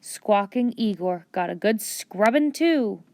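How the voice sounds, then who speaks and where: A woman reading a storybook aloud with expressive children's narration. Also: medium pitch, slow pace, no echo, clean studio sound. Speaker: storybook reader.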